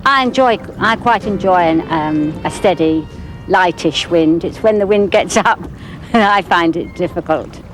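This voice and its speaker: A woman's voice: fairly low pitch, normal speed, low-quality recording. A woman windsurfer giving an interview account of her club and sport. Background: loud background music.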